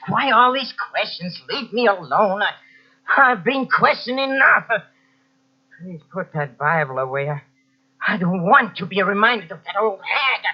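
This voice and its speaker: An actor playing a tormented, guilt-ridden poor writer under suspicion, agitated and halting, in old-time radio drama style. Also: male voice, high-pitched, expressive. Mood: anguished, haunted. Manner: agitated, halting, evasive, by turns sarcastic. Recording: dull lo-fi old radio cassette transfer.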